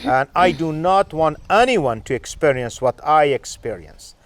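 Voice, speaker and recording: A man from Afghanistan, hosting a podcast interview and asking questions in halting English full of "uh" fillers. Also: fairly high pitch, animated, no room sound. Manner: halting, frequent "uh" fillers, non-native phrasing. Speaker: podcast host.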